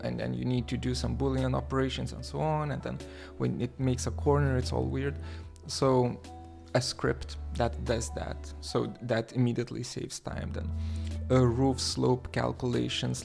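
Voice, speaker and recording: A man from Lithuania hosting a livestream, talking haltingly with many fillers and restarts as he reads the chat aloud. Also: medium pitch, vocal fry, darkish tone. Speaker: livestream host.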